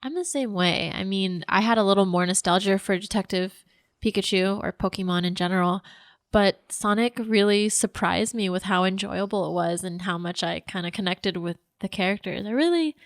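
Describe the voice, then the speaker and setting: A woman's voice: mid-range voice, normal speed, no echo, studio quality. A woman chatting casually as a panelist in a podcast discussion. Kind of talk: casual chat.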